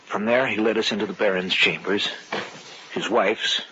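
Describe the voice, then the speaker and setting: An actor with an American accent playing a stubborn, sceptical husband who also narrates an old radio horror drama. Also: male voice, on the low side, steady tone, moderate pace, natural voice. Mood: narrating gravely. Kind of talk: 1940s-50s radio drama acting and narration. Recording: dull hissy old radio recording.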